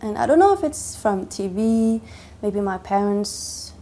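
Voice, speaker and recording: Young woman, interviewee, hesitant and full of fillers, medium pitch, faint hiss behind her. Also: animated, a little slow, dark tone. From Denmark. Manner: hesitant, many fillers and restarts. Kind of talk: personal testimony interview.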